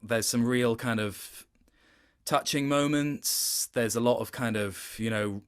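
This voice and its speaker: A man's voice: mid-range voice, takes it slowly, smooth. A man talking as a voice actor guest in a casual interview, telling anecdotes in a hesitant, rambling, appreciative way.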